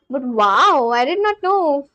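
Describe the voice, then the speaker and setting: A young woman from India speaks in a Delhi-accented English, her voice sitting high and bright in pitch. She talks casually and chattily to her livestream audience, reading their comments aloud and tossing in quick apologies. The sound is dry, with no room echo. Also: muffled recording. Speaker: live streamer. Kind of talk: casual livestream chat with viewers.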